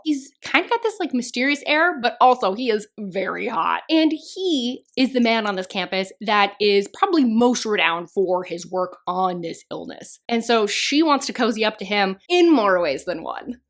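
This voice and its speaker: A young woman chatting through a monthly book wrap-up vlog, her voice slightly hoarse and a little nasal, with lots of casual fillers. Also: on the high side. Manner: chatty, animated, frequent "like" fillers. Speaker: book reviewer.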